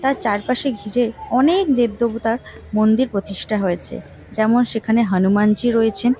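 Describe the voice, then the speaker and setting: A woman, narrating local history as a scripted story in measured phrases. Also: fairly high pitch, warm tone. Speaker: vlog host and narrator.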